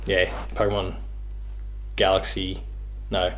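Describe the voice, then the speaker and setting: A man's voice: sits low, monotone, slow pace, a bit bright. A man in his thirties with an Australian accent, chatting casually as he hosts an interview. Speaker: host interviewing a guest. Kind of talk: casual chat about video games. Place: small living room, on a couch. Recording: clear background room noise.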